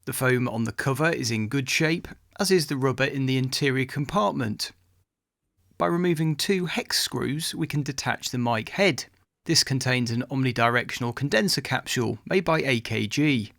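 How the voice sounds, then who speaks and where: A man narrates a scripted voice-over in a mid-pitched voice with plenty of creak. The sound is close and very dry, with no room around it. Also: moderate pace, fairly bright tone, no room sound.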